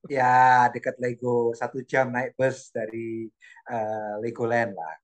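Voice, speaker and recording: A middle-aged man from Indonesia, chatting as a guest about his life abroad in an animated way, often repeating phrases while he searches for words. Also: mid-range voice, slow pace. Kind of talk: casual storytelling chat.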